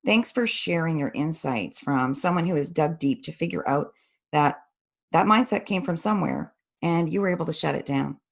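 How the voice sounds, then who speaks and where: A woman with a fairly low voice hosts an interview. She sounds composed and guides her guest with leading, tag-ended questions. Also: lively intonation, normal speed, vocal fry. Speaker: interview host.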